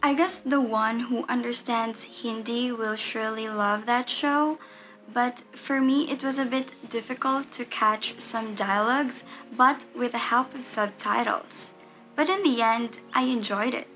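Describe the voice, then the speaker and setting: A young woman from the Philippines giving her opinion on a show in a slow, careful way, recorded on a webcam. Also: a little high, bright, forceful tone, soft background music.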